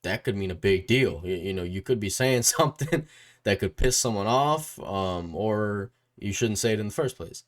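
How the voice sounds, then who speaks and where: Man, low pitch, casual interviewer, music YouTuber, rambling, repetitive phrasing, frequent tag questions. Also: animated, moderate pace. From Puerto Rico or Puerto Rican family. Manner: rambling, repeats phrases, checks in with "right?".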